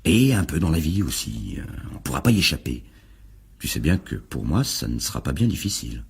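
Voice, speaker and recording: Give the part to a seductive, scheming playwright in a radio play. His voice is very low and strongly husky, swooping expressively as he coaxes and flatters. He sounds confiding, like a man sharing a secret. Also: a little fast, exaggerated voice. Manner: coaxing, flirtatious, secretive. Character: smooth, persuasive actor-playwright wooing an old flame. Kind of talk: French radio drama acting.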